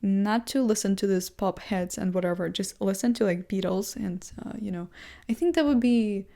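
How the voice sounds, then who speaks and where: A young woman, English not her first language, thinks out loud in a loose, rambling monologue. She talks at a middle pitch and keeps restarting her sentences with fillers. She pokes fun at herself as she goes. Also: normal speed, vocal fry. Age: young adult. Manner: chatty, self-deprecating, full of fillers and restarts. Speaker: vlogger. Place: seated indoors in a chair.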